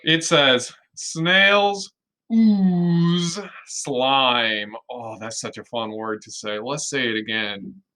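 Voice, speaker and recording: Bright-voiced man, unhurried kindergarten teacher. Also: mid-range voice, low-quality recording. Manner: expressive, explanatory, speaking simply to children with questions and emphasis. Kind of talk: read-aloud lesson for young children.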